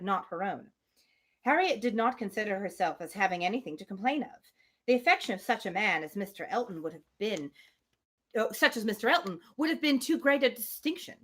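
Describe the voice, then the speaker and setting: Woman, animated intonation, live book reader who drifts between narration and informal, self-interrupting chat. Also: fairly high pitch. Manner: chatty, self-interrupting asides to listeners. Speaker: livestream host reading a novel aloud. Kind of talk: live read-aloud of a classic novel with casual asides.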